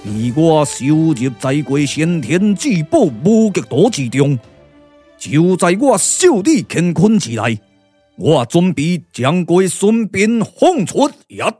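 A proud old immortal sage from a puppet radio play, with a Taiwanese accent. His speech swoops dramatically and stretches out in slow, boastful declamation, over music. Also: a little high, sing-song, warm tone. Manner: theatrical, boastful, drawn-out declamation.

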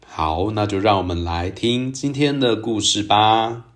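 A man hosting a storytelling show, narrating for young children in a gentle, expressive way. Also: lowish voice, a little slow. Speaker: children's storytelling podcast host.

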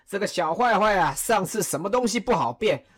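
A woman playing Cao Cao, a weary, lonely middle-aged warlord, speaking slowly with heavy sighs in an audio drama. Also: medium pitch, animated, lightly acted. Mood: wistful. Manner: slow, heavy sighing delivery.

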